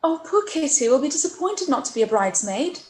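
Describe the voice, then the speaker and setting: A woman playing a gentle, trusting young Regency sister in a period radio play, soothing, with careful formal diction. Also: high-pitched, big pitch swings, brisk. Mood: hopeful, sympathetic.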